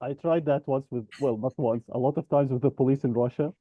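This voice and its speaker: A man co-hosting a podcast, telling animated stories in casual chat and acting out what people said. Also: mid-range voice, fairly quick, no room sound, phone-quality sound. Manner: animated anecdotes with acted-out quotes. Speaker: podcast co-host.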